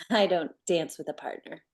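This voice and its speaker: A woman's voice: fairly low pitch, normal speed, slightly rough. A woman playing a skeptical, stubborn young beauty pageant contestant in a screenplay table read.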